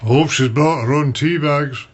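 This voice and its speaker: A man's voice: a little high, dull audio, lightly acted. Grumbling elderly father played by an actor. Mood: grumpy, sardonic. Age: older. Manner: grumbling, halting. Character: grumpy, confused elderly father, stubborn and sardonic. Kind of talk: radio drama dialogue.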